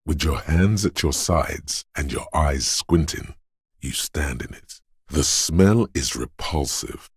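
Very deep, husky inner body voice. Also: male voice, expressive, takes it slowly, dry recording, clean studio sound, heavily acted. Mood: dark, intense. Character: Endurance, brooding inner voice of a weary detective's body. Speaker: voice actor. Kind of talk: scripted game narration in second person.

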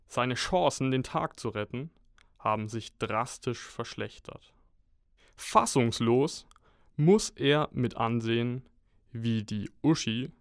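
A man narrating a comic superhero radio play as a deadpan storyteller. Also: mid-range voice, clean tone, no room sound, studio quality.

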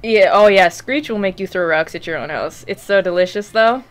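A woman's voice: mid-range voice, normal speed, faint music behind. A young woman casually commentating a video game as she plays, chatty and easily distracted, swearing and laughing now and then, on a headset mic in a small room at home. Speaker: gaming streamer. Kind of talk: casual let's play commentary.

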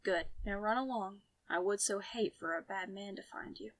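A woman voicing a suave, self-assured and dangerous Frenchman with a French accent and a smooth, teasing delivery in an audio story. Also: mid-range voice, normal speed, no echo, natural voice, rough recording. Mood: calmly menacing. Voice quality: smooth, sweet. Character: suave, flirtatious, menacing Frenchman with a gun.

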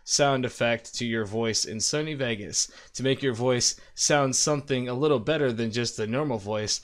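Casual man hosting a software tutorial. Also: medium pitch, normal speed. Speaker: tutorial host. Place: indoor room at a desk.

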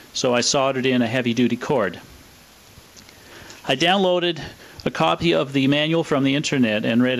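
A man's voice: mid-range voice, a bit bright, rough recording. A man narrating a demonstration in a matter-of-fact way. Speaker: presenter and radio hobbyist. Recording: hissy home recording.

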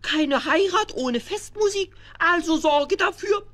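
A childish creature king for a children's radio play. The voice is extremely high and drawn out, haughty and bossy, like spoiled royalty giving orders. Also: expressive, measured pace, a bit bright, heavily acted. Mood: haughty, commanding. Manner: imperious, demanding.

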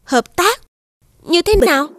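A woman voicing a proud, shrewd young princess in an animated fairy tale, speaking in a formal, courtly and assertive way. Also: very high-pitched, measured pace, dry recording, clean studio sound, full character voice. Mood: cool, shrewd.

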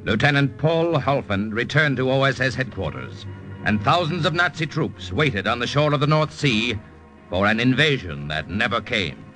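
Bright, forceful male radio announcer. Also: medium pitch, big pitch swings, moderate pace. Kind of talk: dramatic scripted old-time radio narration. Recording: old radio recording, faint music behind.

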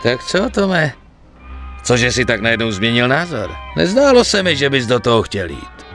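A male actor in a dubbed video game, playing an excitable, greedy gangster sidekick who swears and wheedles. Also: medium pitch, faint music behind. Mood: eager.

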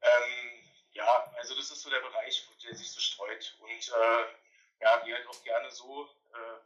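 A man's voice: mid-range voice, little pitch movement, a little slow, fairly bright tone. A man in his thirties from Berlin, a guest artist calling in, talking about his work with lots of hesitant fillers. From Berlin, Germany. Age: 30s. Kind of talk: casual interview. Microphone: phone call. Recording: dull lo-fi phone call.

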